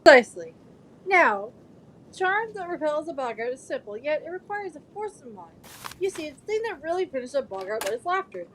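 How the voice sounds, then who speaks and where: A woman's voice: sits high, very animated, a little fast, fairly bright tone, slight background noise. A woman playing a courteous, encouraging male professor instructing a class in an audio drama. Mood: instructive. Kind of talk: fan audio drama acting.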